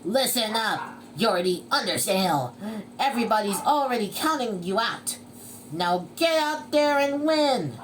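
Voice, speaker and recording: A woman voicing an excitable, pushy male cartoon sponge in a storybook reading, high and loud with dramatic urgency. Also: unhurried, noisy background. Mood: bossy, frantic. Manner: shouting, pleading, wailing dramatically.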